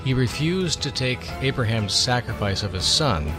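A man's voice: medium pitch, expressive, normal speed, music in the background. A man hosting a radio show, discussing the Bible in an earnest, emphatic conversational way.

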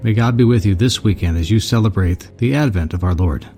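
Male radio presenter for a program outro, slightly deep and warm, with a music bed. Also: fairly quick. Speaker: radio host. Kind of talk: scripted program sign-off.

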